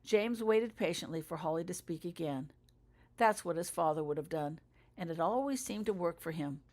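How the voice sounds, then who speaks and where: A woman's voice: on the low side, animated, moderate pace, darkish tone. A woman reading her own fiction aloud as a scripted audiobook narration for younger listeners. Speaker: author reading own story.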